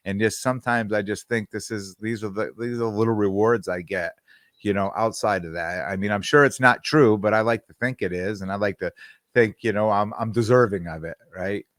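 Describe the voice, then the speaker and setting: Low-voiced middle-aged man, rambling chat. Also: brisk, a bit bright, dry recording, studio quality. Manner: rambling, repeats words while searching for them. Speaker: podcast co-host. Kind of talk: casual chat.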